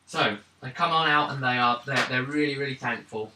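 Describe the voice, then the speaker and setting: A man running a tabletop role-playing game as game master, narrating scenes haltingly with pauses as he describes them. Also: medium pitch. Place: indoor room around a gaming table. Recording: distant mic, echoey room, background noise.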